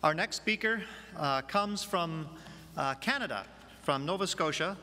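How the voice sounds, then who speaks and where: A middle-aged man formally introduces a speaker in a somewhat halting way. His voice is fairly high and sharply bright, carrying through a chapel with long reverb. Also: expressive. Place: large church chapel, at lectern.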